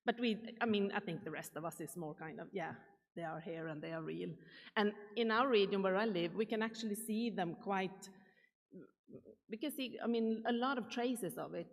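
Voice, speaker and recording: A woman's voice: mid-range voice, normal speed. A woman from Sweden with a Swedish accent, giving a chatty conference keynote and asking the audience questions. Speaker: keynote speaker. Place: large conference hall. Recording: reverberant hall.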